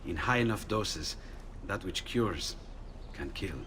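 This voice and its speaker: Game inventor, male, eager and chatty, lowish lively voice, faint room ambience. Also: takes it slowly, slight background noise, full character voice. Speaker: inventor friend.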